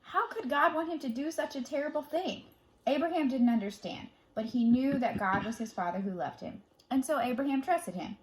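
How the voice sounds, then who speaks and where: A woman reading a children's Bible story aloud as a storyteller, dramatic in delivery, moving between emphatic and hushed phrasing. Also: high-pitched, normal speed, low-quality recording.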